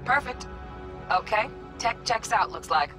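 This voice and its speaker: An actress playing a crisp, wry young female hacker talking over a comms line in a game, with music behind. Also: on the high side, animated, measured pace. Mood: businesslike. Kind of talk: game dialogue.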